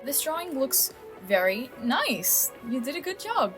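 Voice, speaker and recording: A woman's voice: high-pitched, big pitch swings, measured pace, fairly bright tone. A woman co-hosting a casual art commentary in a chatty, encouraging, informal way. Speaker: co-host, digital artist. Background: background music.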